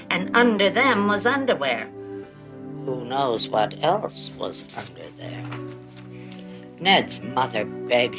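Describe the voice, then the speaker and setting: A woman playing a gentle visitor to a sick child in a television drama, with a playful storytelling manner. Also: low voice.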